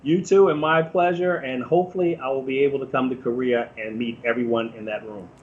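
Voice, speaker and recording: A man's voice: medium pitch, steady tone, a little fast, narrow, tinny audio. An American man speaking informally as an interview guest, explaining his opinions in a conversational way.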